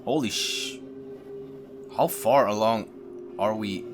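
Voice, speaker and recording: A man's voice: fairly low pitch, slow pace, noisy background. A man hosting a gaming video, giving casual, slangy reaction commentary with muttered asides.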